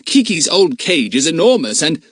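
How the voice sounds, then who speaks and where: A man's voice: a little high, sing-song, normal speed, fairly bright tone, breathy edge, no echo, clean studio sound, voice pushed up, full character voice. A male narrator voicing an emphatic, hot-tempered schoolboy. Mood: indignant. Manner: indignant, emphatic.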